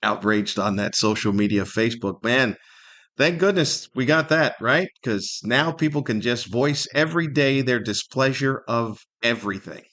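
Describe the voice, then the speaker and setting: A man's voice: mid-range voice, expressive, moderate pace, a bit bright. A middle-aged or older man hosting a solo podcast, rambling casually with wry humor and plenty of rhetorical questions. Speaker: podcast host.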